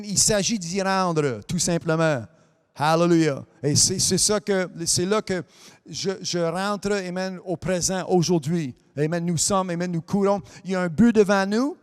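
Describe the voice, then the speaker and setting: A man preaching a sermon in a high voice, his first language English. He fills his delivery with amen and hallelujah, breaks off to restart phrases, and drifts into a sing-song lilt. His voice carries a long reverb tail like a church hall. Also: unhurried, clear, resonant tone.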